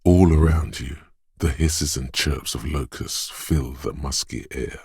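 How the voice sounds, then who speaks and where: A man voicing an inner sense of perception in a video game, narrating in a hushed, husky, deliberate and poetic way, savoring each sound. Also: very deep voice, no echo, clean studio sound, heavily acted. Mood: hushed, watchful. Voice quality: husky, gravelly, breathy. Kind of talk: atmospheric scripted game narration.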